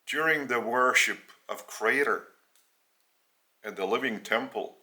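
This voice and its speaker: Slow-speaking Lithuanian man preaching. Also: medium pitch, pushed and bright. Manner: slow, halting delivery in short phrases. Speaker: spiritual teacher. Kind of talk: spoken religious teaching in English.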